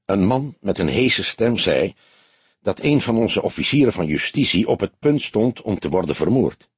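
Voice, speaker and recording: Nervous, husky desk sergeant acted by a man. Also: lowish voice, sing-song, normal speed, no room sound, exaggerated voice. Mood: uneasy. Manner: stammering, hesitant.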